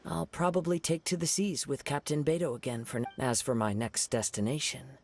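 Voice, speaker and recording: A man playing a calm, courteous young wandering swordsman in an anime-style game, speaking in a formal, reflective, gentle way. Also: medium pitch, brisk, gravelly. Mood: calm, polite. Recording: music behind the voice.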